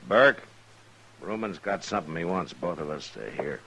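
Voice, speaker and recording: An actor playing a stern, controlled Old West marshal in an old-time radio western. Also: male voice, low voice, big pitch swings, normal speed, vocal fry, pushed and bright, exaggerated voice. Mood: firm. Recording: noisy vintage radio recording.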